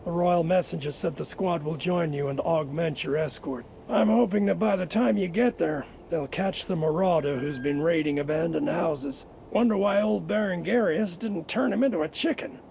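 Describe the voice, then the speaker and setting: An actor playing an eager, deferential prison guard in a fantasy video game, chatty and earnest. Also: male voice, a little high, normal speed, creaky, few pauses, darkish tone, a little hiss or hum. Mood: earnest, cautioning.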